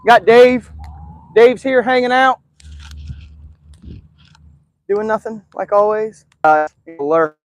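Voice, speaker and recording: A man's voice: sits high, animated, slow pace, pauses often. A man hosting a casual live stream outdoors, chatting in a rambling, easygoing way with lots of fillers. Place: outdoors in a backyard.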